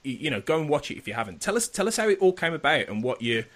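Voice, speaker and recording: A male podcast host interviewing a guest, eager and quick to laugh, often repeating words as he starts sentences. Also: fairly high pitch, big pitch swings, fairly bright tone. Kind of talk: enthusiastic interview chat.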